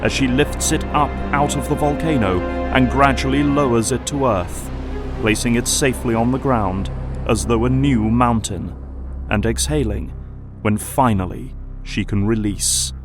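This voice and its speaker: A man's voice: mid-range voice, a little slow, music in the background. A man reading scripted, dramatic narration for an audio drama.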